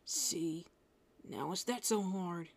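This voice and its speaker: A woman playing a gruff, prickly but secretly tender young male half-demon in a roleplay spoken to the listener, brusque with a low, gravelly voice. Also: expressive, slow, slight background noise. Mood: irritated, pressing. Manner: gruff, brusque, softening into breathy murmurs. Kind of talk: roleplay audio addressed to the listener.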